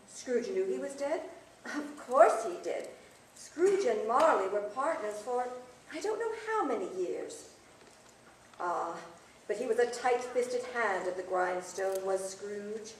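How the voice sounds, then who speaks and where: Slow, dramatic woman storyteller. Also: medium pitch, a little mellow. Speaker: narrator. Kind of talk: theatrical scripted narration. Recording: echoey distant stage recording, faint noise.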